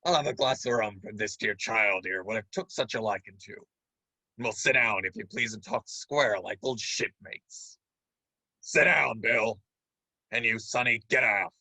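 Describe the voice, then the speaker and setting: A man playing a menacing pirate who feigns friendliness, with a raspy voice and wheedling sailor dialect in a radio play. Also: lowish voice, moderate pace, sharp tone, dry recording, full character voice, low-quality recording. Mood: falsely genial, bossy. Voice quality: raspy, gravelly. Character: menacing pirate feigning friendly warmth, sly old shipmate.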